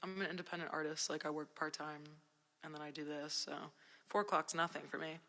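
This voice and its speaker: Young woman from Jersey, voice worn hoarse after a cold; easygoing, rambling livestream chatter with lots of fillers, a long echo around her. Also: low voice, fairly even intonation, brisk, sharp tone. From New Jersey. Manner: laid-back, rambling, many "like" and "um" fillers. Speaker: independent musician livestreaming. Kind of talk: casual livestream chat with viewers.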